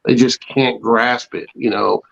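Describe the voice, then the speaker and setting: Male panelist, creaky gravelly voice, folksy casual talk, muffled lo-fi recording. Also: medium pitch, fairly even intonation, unhurried, fairly bright tone. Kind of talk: casual chat. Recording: dull lo-fi online audio.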